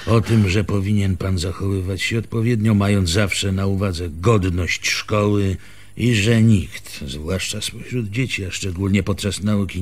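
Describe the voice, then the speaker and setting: An actor in a radio play as a pompous, officious male village schoolteacher, speaking formally and pedantically in a husky voice. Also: low voice, exaggerated voice. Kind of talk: Polish radio theatre acting.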